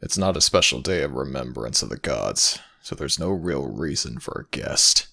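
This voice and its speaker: A man voicing a stern, weary god of the dead in an ASMR audio roleplay, formal and commanding, with a gravelly voice. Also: very deep voice, lively intonation, moderate pace, bright, forceful tone, full character voice.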